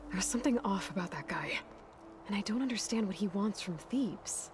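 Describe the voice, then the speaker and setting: An actress playing a wary, earnest young woman adventurer in a video game cutscene, speaking in a hushed, measured way. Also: medium pitch, animated, lightly acted. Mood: guarded, serious. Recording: noisy game audio with ambience.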